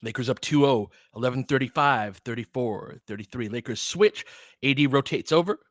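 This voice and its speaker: A man's voice: medium pitch, moderate pace, no echo, studio quality. A man hosting a basketball stream, giving casual play-by-play mixed with chat in a conversational, slangy way with clipped phrases.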